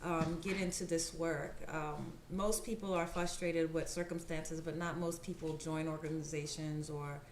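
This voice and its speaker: A woman's voice: low-pitched, steady tone, normal speed, hardly any pauses, a little mellow, a little hiss or hum. A woman hosting a podcast in an upbeat, casual and hyped-up way. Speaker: podcast host. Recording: echoey room with faint ambience.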